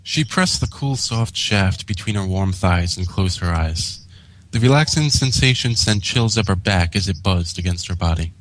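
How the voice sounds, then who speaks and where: A man's voice: low-pitched, lively intonation, normal speed, fairly bright tone, low-quality recording. A man reading a scripted story aloud as a co-host and narrator. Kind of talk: scripted fan fiction narration.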